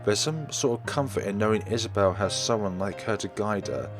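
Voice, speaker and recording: A man with a fairly low voice reads visual novel narration aloud with expression. He occasionally trips on words and corrects them as he goes. Music plays underneath his voice. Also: brisk. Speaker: let's player reading a visual novel aloud. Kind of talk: expressive read-aloud of first-person story narration. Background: background music.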